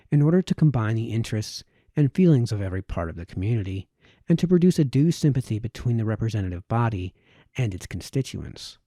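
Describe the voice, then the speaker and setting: A man reading a formal historical text aloud in audiobook style, with measured phrasing and the occasional stumble. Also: mid-range voice, mellow, dry recording, clean studio sound. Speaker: amateur reader of historical texts.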